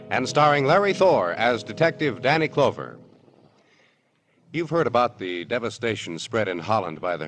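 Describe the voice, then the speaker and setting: A man's voice: on the low side, a bit bright. A man announcing in formal, earnest 1950s radio style, reading from a script.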